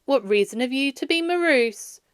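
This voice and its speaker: A woman's voice: wildly swooping pitch, drawn-out words, dry recording, studio quality, full character voice. A woman voicing a cheerful young man who speaks eagerly and gaily to his uncle. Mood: playful. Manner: bright, eager, high and loud. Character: cheerful young nephew, warm and pleading.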